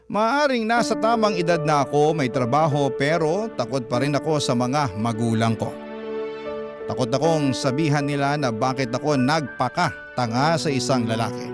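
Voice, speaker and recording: A man reading a listener's letter as a radio drama narrator, in dramatic scripted storytelling style. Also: mid-range voice. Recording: music bed under narration.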